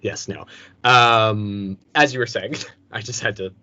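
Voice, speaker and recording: Relaxed man chatting, a bit muffled. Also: fairly low pitch, slow. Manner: relaxed, hesitant, many fillers. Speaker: voice actor guest. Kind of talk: casual interview chat. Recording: dull lo-fi sound, dry.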